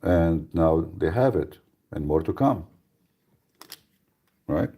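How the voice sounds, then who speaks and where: A man's voice: low-pitched, animated, takes it slowly, mellow, husky. A man hosting a live radio talk, speaking bluntly and emphatically, with frequent repeats and restarts. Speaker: radio show host.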